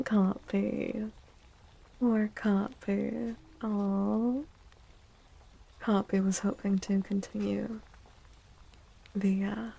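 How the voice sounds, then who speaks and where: A woman speaking in a soft ASMR style as a streamer, breathy and often near a whisper, gentle and playfully theatrical with drawn-out words. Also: mid-range voice, low-quality recording. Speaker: streamer hosting a tarot and astrology stream. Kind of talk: soft-spoken ASMR, casual live stream chat.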